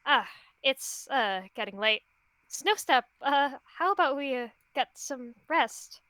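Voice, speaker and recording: A woman's voice: high-pitched, lively intonation, slow pace, sharp tone, clear, resonant tone, dry recording, pitched above the performer's own voice, heavily acted. Skittish, stammering warrior cat voiced by a woman. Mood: awkward, gentle. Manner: stammering, hesitant. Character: skittish, apologetic warrior cat mentor, nervous and gentle.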